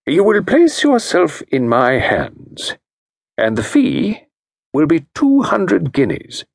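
Man playing unflappable gentleman consultant, measured, soothing, gravelly edge, lilting. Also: fairly high pitch, huge pitch swings, no echo, clean studio sound, pitched above the performer's own voice, heavily acted. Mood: assured. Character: calm, knowing middle-aged male consultant, soothing and assured.

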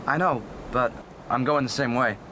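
An actor playing a polite, secretive American teenage boy, halting and deferential, in radio drama dialogue. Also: mid-range voice, close to an everyday voice. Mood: shy, evasive. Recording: outdoor street ambience with vehicle noise.

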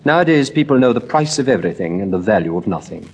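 A man's voice: on the low side, animated, fast, a little mellow, slightly rough. A man voicing a languid, witty aristocrat in a storybook reading, drawling wryly.

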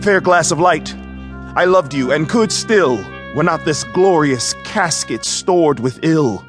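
A noble young prince in a Shakespearean audio play, with a fairly high male voice. He is bold and eloquent, declaiming earnestly over music. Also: animated, slow. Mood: disillusioned. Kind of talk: Shakespearean audio drama acting.

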